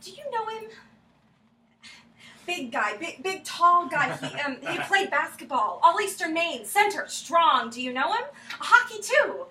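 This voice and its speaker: A woman on stage playing a flustered, heartbroken woman who searches for a lost love in halting, stammering, apologetic speech. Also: very high-pitched, very animated, bright, forceful tone, heavily acted. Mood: eager, pleading. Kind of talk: staged play reading. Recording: roomy, distant mic, faint noise.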